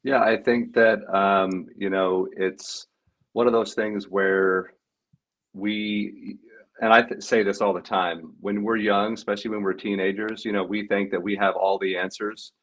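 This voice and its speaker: A man around forty speaks on a video call as a panel guest, his voice sitting on the low side. He reflects as a coach would, unhurried and full of casual fillers. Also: flat delivery, a bit bright, rough recording. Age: 40s.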